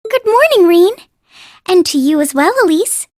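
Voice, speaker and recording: A woman's voice: very high-pitched, very animated, normal speed, a little mellow, no room sound, clean studio sound. A woman playing a playful young princess in an anime-style game, coy and refined as she teases. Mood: playful teasing.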